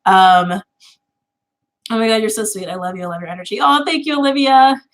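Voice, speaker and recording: A twenty-something woman hosting a casual livestream, talking loosely with her viewers. She rambles through "um" and "like", laughs easily, and her pitch sits in a middle range. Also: a little fast. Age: 20s. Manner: chatty, laughs easily, lots of fillers. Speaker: tarot channel host.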